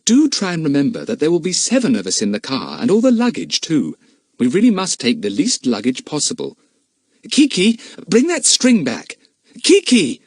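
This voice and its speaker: A male narrator voicing a firm, brisk, motherly aunt managing a household of children. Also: mid-range voice, wildly swooping pitch, darkish tone, slightly rough, dry recording, clean studio sound, voice pushed up.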